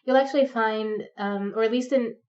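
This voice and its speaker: A woman's voice: a little high, steady tone, moderate pace, darkish tone. A woman in her thirties with a cold, talking off the cuff in a rambling, hesitant way full of ums and likes, a little stuffed up and sniffly. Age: 30s. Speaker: pagan vlogger. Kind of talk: unscripted rambling monologue. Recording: distant, dull lo-fi sound.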